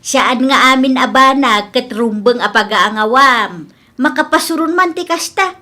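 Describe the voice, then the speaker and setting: A woman's voice: high voice, expressive, measured pace, exaggerated voice. A woman with an Ilocano Filipino accent playing a blunt, reproachful village auntie in a comedy radio drama. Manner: sharp, reproachful.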